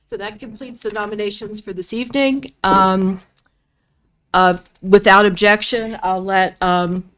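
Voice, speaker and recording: Woman with a medium-pitched voice as a meeting official handling procedure in an informal way. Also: fairly even intonation, a little slow, a bit bright.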